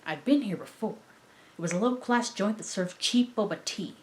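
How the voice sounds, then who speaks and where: A woman voicing a male android detective in deadpan, melodramatic noir monologue, as in an amateur cartoon reading. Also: mid-range voice, moderate pace, a little hiss or hum, lightly acted. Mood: brooding. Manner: deadpan, overly descriptive, melodramatic. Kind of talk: hardboiled detective narration, amateur dramatic reading.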